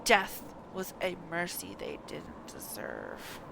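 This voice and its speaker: A woman's voice: on the low side, huge pitch swings, slow pace, creaky, noisy background, exaggerated voice. A woman voicing a wounded male knight from a video game, earnest and embittered. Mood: grim, resolved. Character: wounded, grateful male knight, bitter toward heretics. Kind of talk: reading video game dialogue aloud.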